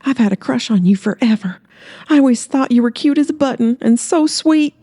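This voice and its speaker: A woman's voice: fairly high pitch, animated, brisk, warm tone, pitched above the performer's own voice. Woman putting on a feisty, flirty small-town gal.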